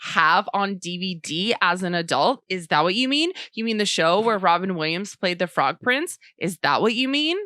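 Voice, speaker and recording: A woman's voice: fairly low pitch, lively intonation, moderate pace, few pauses, pushed and bright, dry recording, studio quality. A woman co-hosting a podcast, bantering casually in a playfully emphatic, dramatic way. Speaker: podcast co-host playing trivia.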